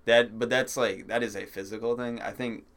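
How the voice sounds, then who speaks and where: A young man chatting casually on a podcast, soft-spoken and patient as he explains, laughing often. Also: medium pitch, steady tone, normal speed. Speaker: comedian guest.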